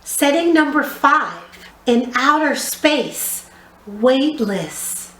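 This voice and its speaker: A woman giving an instructional drama lesson for young children, speaking in an expressive, encouraging, clearly enunciated way. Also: high-pitched, extremely slow, music in the background. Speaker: drama teacher. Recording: roomy, echoey sound.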